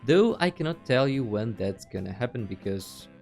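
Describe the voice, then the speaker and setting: Lively man narrating a casual tutorial. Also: mid-range voice, moderate pace, gravelly, soft background music. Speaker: host of a video game tutorial channel.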